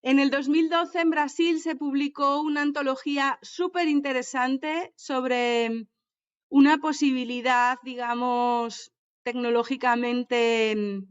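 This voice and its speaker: A woman's voice: high-pitched, fairly even intonation, slow, smooth. A woman from Madrid with a Madrid Spanish accent, speaking thoughtfully and hesitantly in an academic discussion over a video call. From Madrid, Spain. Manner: thoughtful, hesitant, searches for words. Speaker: seminar participant. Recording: muffled video call audio.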